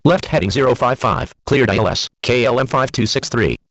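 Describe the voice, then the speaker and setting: A man's voice: mid-range voice, animated, fairly quick, fairly bright tone. A man speaking clipped aviation phraseology over an air traffic radio transmission. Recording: dull, band-limited air traffic radio.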